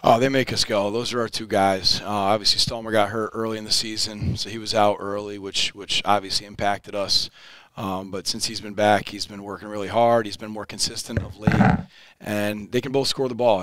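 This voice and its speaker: Low-voiced, smooth-sounding coach on a headset mic. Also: male voice, steady tone, brisk, a bit bright. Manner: upbeat, plain-spoken, often repeats words as he restarts. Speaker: high school basketball head coach. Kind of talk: post-game interview. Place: indoor studio set in front of a backdrop.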